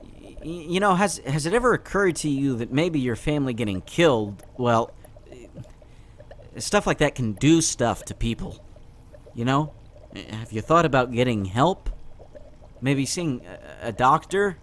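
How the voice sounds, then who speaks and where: Man playing a slow, halting, awkward teenage boy. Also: mid-range voice, lively intonation, lightly acted. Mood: hesitant, concerned. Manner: halting, stammering, hesitant. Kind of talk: comic dub dialogue. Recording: noticeable background noise.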